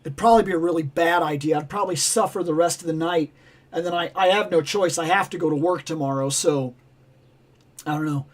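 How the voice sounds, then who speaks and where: Raspy high-voiced man chatting on a livestream. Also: a little fast. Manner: rambling, animated, self-interrupting. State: raspy, losing his voice. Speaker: toy channel livestream host. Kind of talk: casual livestream chat.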